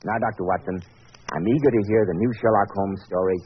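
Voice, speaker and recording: A man's voice: low voice, fast, husky. A man announcing and hosting in a scripted 1940s radio style, polished, genial and declamatory. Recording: muffled old radio recording.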